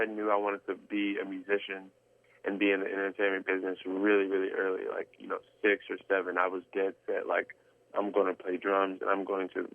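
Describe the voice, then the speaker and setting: A man's voice: sits low, vocal fry. A man, talking earnestly in an interview, rambling with many restarts and fillers. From Texas. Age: 20s. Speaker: guest drummer. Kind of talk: casual interview chat. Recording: tinny phone line.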